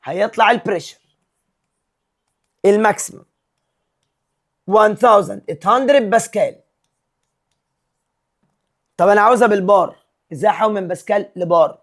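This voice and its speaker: A male physics teacher with an Egyptian Arabic accent, working through exam problems step by step and mixing English terms and numbers into his Arabic. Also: high voice. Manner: mixes English terms and numbers into Arabic, reads questions slowly.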